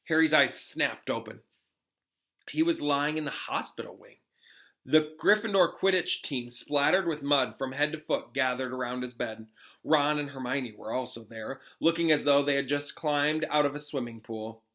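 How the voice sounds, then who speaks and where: A man, a teacher, reading a story aloud to students in an expressive, suspenseful storytelling manner. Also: medium pitch. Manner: expressive, suspenseful, pauses to question listeners. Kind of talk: dramatic storybook read-aloud.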